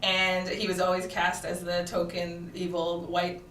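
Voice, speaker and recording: A woman's voice: fairly low pitch, completely flat delivery, unhurried, fairly bright tone, roomy sound, distant mic, a little hiss or hum, poor audio. A woman raised in Japan, now a comedian and actor, chatting playfully as a guest in a studio interview and laughing often. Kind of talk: casual interview chat.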